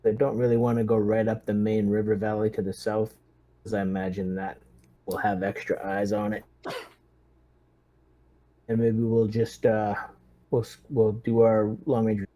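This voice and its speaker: Male tabletop player, slightly low voice, relaxed gamer talk. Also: normal speed, long pauses. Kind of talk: casual planning and chat.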